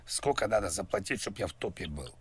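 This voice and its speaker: Male prank caller, very low register, rough husky tone, rambling, crude, mock-earnest delivery. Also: lively intonation, fairly quick. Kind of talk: rambling prank phone call.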